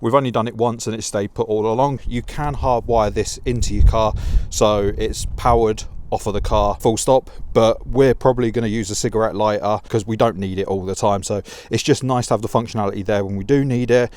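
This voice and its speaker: A man's voice: fairly low pitch, steady tone, a little fast, hardly any pauses, darkish tone. A British man casually explaining things as co-presenter of a practical guide. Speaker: co-presenter and camera operator.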